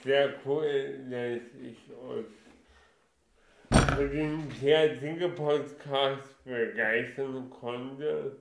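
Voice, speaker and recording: A male podcast host speaks very slowly in halting, deliberate phrases. His voice has a noticeable creak and an average pitch. The room echoes around him. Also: steady tone, noisy background. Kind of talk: podcast interview, casual moderation. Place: kitchen used as a studio. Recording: roomy echo, faint music behind.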